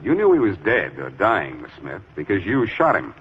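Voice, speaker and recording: An actor playing a confident, wry amateur detective with an American accent and sardonic delivery in 1940s radio mystery style. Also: male voice, low voice, wildly swooping pitch, moderate pace, slightly rough, heavily acted. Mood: coolly accusing. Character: confident wry amateur detective, unmasks killers with cool sarcasm. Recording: muffled, dull 1940s radio recording.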